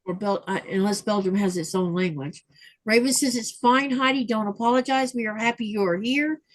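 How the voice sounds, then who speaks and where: A woman's voice: mid-range voice, a little fast. A woman hosting a craft livestream, reading viewer comments aloud in a chatty way with fillers. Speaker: livestream host reading chat. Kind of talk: casual chat. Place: home livestream. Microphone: livestream mic.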